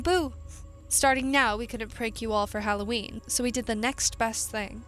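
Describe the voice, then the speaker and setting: Playful woman, fairly high voice, over music. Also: a bit bright. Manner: playful, theatrical. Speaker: radio drama director and star signing off. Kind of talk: scripted radio sign-off address to listeners.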